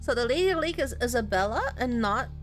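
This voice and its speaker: A woman giving casual, reactive let's-play commentary on a horror game, thinking out loud in an informal way. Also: a little high, lively intonation, a little fast. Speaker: game streamer playing a horror visual novel. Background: game music.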